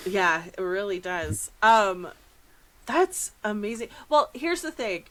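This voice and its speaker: A woman's voice: mid-range voice, sing-song, takes it slowly, fairly bright tone. A woman hosting a casual podcast interview in an effusive, informal, self-deprecating way, speaking into her own microphone in a room at home. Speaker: podcast host.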